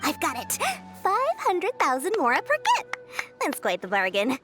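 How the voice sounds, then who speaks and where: Casting: a savvy game merchant, high and lively, lecturing with a sales pitch, faint music under. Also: female voice, unhurried, full character voice. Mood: salesy. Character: shrewd, chatty female merchant, profit-minded.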